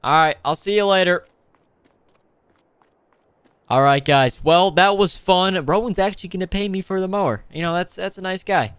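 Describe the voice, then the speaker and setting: A young man doing casual, excitable roleplay commentary over a video game, animated and slangy with frequent exclamations. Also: high-pitched, moderate pace, pauses often, clean tone, no echo. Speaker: gaming video host.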